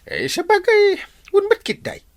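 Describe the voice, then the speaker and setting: Wildly swooping, bumbling village captain. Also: male voice, very high voice, wildly swooping pitch, a little slow, dry recording, exaggerated voice. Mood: flustered, evasive. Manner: stammering, hedging, comic. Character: hesitant, bumbling comic barangay captain. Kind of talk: Ilocano radio-style comedy drama acting.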